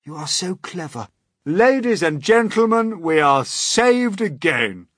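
A man's voice: high voice, very animated, slow pace, fairly bright tone, slightly rough, no echo, studio quality, voice pushed up, heavily acted. A man voicing a kindly, theatrical old green grasshopper with courtly, effusive manners in a storybook. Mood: affectionate, grand.

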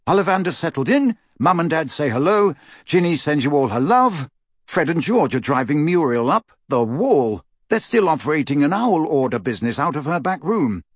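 A man voicing an easygoing young adult male wizard with a brisk, chatty delivery in an audiobook. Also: a little high, sing-song, breathy edge, dry recording, studio quality, pitched above the performer's own voice. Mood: chatty, reassuring.

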